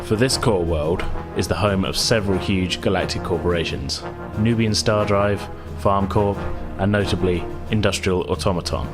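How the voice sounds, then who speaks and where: A man's voice: low-pitched, normal speed, few pauses. A man narrating a tabletop roleplaying game as game master, descriptive with some hesitation. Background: loud music.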